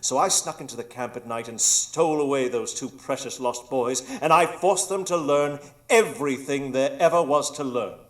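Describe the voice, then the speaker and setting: A man's voice: medium pitch, normal speed, hardly any pauses, close to an everyday voice. A man as a grandiose, scheming old pirate villain. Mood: gloating. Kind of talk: live radio drama acting.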